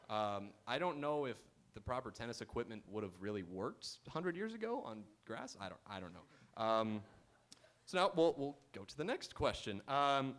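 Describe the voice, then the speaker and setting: A man hosting a live game show on stage, bantering playfully and reading announcements to the audience. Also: mid-range voice. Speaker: quiz show host. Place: auditorium stage.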